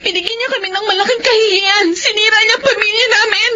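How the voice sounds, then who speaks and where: A woman's voice: extremely high pitch, steady tone, quick pace, sharp tone, a little hiss or hum. A woman playing a resentful young doctor daughter in an emotional Filipino radio drama. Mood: anguished, angry. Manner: emotional, melodramatic delivery. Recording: dull lo-fi radio sound with music.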